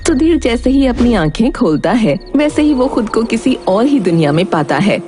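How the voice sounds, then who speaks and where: A woman's voice: on the high side, big pitch swings, moderate pace. A woman narrating a scripted cartoon story as the storyteller. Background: music.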